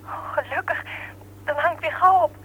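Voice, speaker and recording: A woman's voice: sits high, normal speed, sharp tone. An actress playing a timid, polite young woman speaking on the telephone in a Dutch radio play. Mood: timid, relieved. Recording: faint hum, old radio play telephone call.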